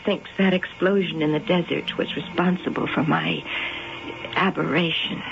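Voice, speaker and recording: An enigmatic woman who keeps cats and insists she has no past in an old radio mystery. She speaks in a cool, measured way. The sound is dull and muffled, with music underneath. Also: fairly low pitch, steady tone, gravelly. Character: mysterious cat-keeping woman claiming no past. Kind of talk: 1970s radio drama acting.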